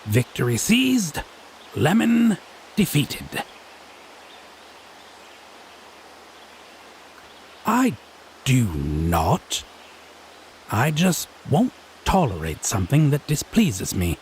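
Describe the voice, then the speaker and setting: Guy doing a snooty fae man for audio roleplay, unhurried and teasing, super expressive pitch, bit of background noise. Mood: haughty, triumphant. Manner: arch, pompous, drawling with theatrical emphasis. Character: haughty, teasing male Fae, theatrically prim and domineering. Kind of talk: scripted audio roleplay drama.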